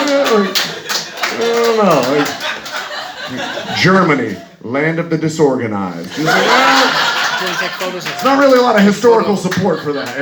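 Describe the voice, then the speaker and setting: Echoey live American male stand-up comic. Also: fairly high pitch. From United States. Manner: conversational, wry, punctuated by "you know". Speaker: stand-up comedian. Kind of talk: live stand-up comedy set. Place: live comedy venue in Munich. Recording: echoey live room with clear noise.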